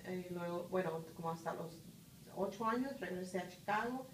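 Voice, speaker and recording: Middle-aged woman interviewee, thoughtful, full of fillers; distant phone recording in a roomy office. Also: fairly low pitch. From Puerto Rico. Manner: thoughtful, pauses with many "ah" and "este" fillers. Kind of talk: conversational interview answers. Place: office conference room. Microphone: far phone camera. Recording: roomy, distant, faint hiss.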